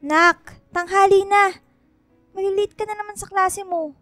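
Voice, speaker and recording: A loving Filipino mother in a radio drama, with a high, bright voice. She pleads and soothes with heavy emotion, trying to keep her child strong. Soft music plays behind her. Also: steady tone, measured pace, dry recording, exaggerated voice. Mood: calling, concerned. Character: loving, worried mother urging her grieving daughter to stay strong.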